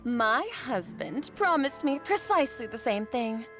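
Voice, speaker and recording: A refined society lady who speaks slowly and poshly, her voice rising and falling with genteel flourish. Game music plays clearly behind her. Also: on the high side, sing-song. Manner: posh and leisurely. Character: refined wealthy married lady, genteel and gossipy. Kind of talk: game dialogue. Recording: game audio over background music.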